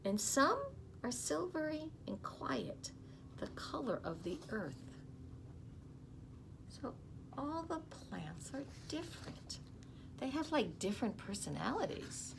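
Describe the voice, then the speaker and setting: A woman in her fifties reads a picture book aloud to children, expressively and unhurriedly, with soft explaining asides. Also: sits high, very animated, slow, pauses often. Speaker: school storytime reader. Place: small office, indoors. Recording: clearly noisy small-room recording.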